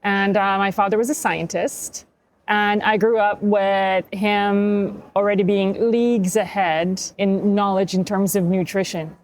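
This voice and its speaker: A woman's voice: medium pitch, steady tone, unhurried, slight background noise. A woman in her fifties, from Hungary, a health practitioner telling her story candidly as a podcast guest, with plenty of fillers. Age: 50s. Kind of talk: conversational interview.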